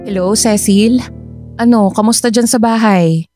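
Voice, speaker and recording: An actress playing a wronged breadwinner and older sister in a Filipino radio drama, confrontational and emphatic. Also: female voice, medium pitch, measured pace, over music, close to an everyday voice. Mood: worried, puzzled.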